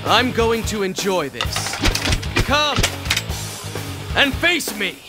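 Game warrior: brash challenger, high projected voice, slow, loud music behind. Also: male voice, big pitch swings, heavily acted. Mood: exhilarated, challenging. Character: bold, brash warrior lord eager for a duel. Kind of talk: scripted video game battle acting.

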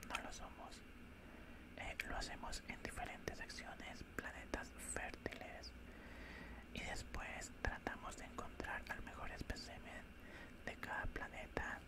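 A cold alien scientist, played by a man, whispers very slowly into a close microphone. The delivery is breathy and clinical, with a condescending, faintly menacing calm suited to an ASMR roleplay. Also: lightly acted. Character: cold, condescending male alien scientist examining a human specimen. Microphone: close-up studio microphone.

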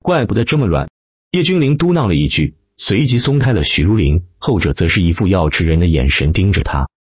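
A man narrates fantasy fiction in a low, animated voice. His delivery is emphatic and theatrical, with a rough, husky edge. The slightly lo-fi recording sounds muffled. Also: brisk, no echo. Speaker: audiobook narrator. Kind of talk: dramatic scripted narration of a fantasy web novel.

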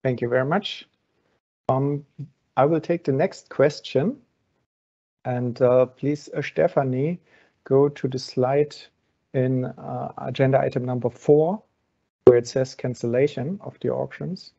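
A man with a gravelly, dark voice hosts a webinar and works through audience questions one by one, with plenty of ums along the way. The sound is dull and lo-fi, as on a video call. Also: medium pitch, fairly even intonation, a little slow. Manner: explains step by step with frequent fillers. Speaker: webinar host from an exchange.

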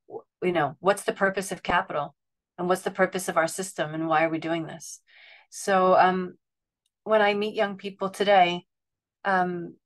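A woman in her forties speaking candidly on a webinar video call, rambling a little with frequent restarts and fillers. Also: fairly low pitch. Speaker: webinar panelist. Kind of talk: casual panel talk. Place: home room with bookshelves. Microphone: video call webcam.

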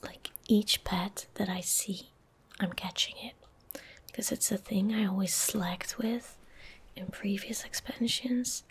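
Whispering young woman, very breathy. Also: mid-range voice. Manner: slow, unhurried, gentle murmuring. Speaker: ASMR streamer. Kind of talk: soft-spoken ASMR game commentary. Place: small indoor room at a desk. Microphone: close desk microphone.

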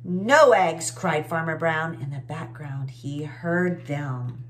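A woman's voice: low voice, steady tone, slow pace, slight background noise. A woman in her forties hosting children's story time, reading expressively and explaining in a teacherly, encouraging way. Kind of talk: expressive read-aloud with simple explanations. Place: small indoor room with bookshelves.

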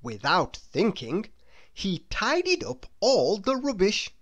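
A woman narrating a children's storybook aloud in an expressive, deliberate storytelling manner. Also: medium pitch.

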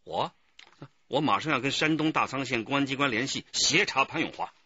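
An actor playing a brisk, decisive police detective chief in a Chinese radio drama, with a husky voice. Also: male voice, mid-range voice, bright, forceful tone, no room sound, highs cut off. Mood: commanding.

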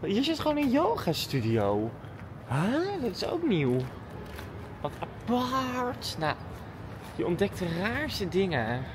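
A woman's voice: mid-range voice, wildly swooping pitch, slow pace, background noise. A woman chatting casually and informally to her vlog audience, thinking aloud as she goes. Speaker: daily vlogger and dancer.

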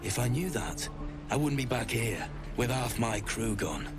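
A man voicing a blunt, fatalistic mercenary adventurer in a video game, gruff and sardonic with colloquial speech. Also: mid-range voice, lively intonation, a little slow, close to an everyday voice. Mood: bitter, dismissive. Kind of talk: video game dialogue acting. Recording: prominent music under the voice.

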